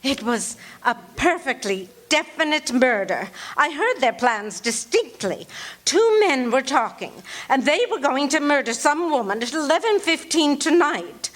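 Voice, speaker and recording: A woman's voice: high voice, sing-song, measured pace, a bit bright, full character voice. An actress playing a fretful, imperious bedridden wife in a live radio-theatre show, indignant and fussy, stumbling over her words. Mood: anxious, insistent. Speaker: lead actor in a radio play. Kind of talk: live radio-theatre acting before an audience.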